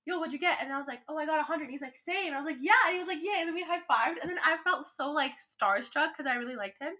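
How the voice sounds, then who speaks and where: A woman's voice: high voice, very fast, sharp tone. A young teenage girl podcast co-host telling stories in casual chat, with a giggly, rambling, gushing manner.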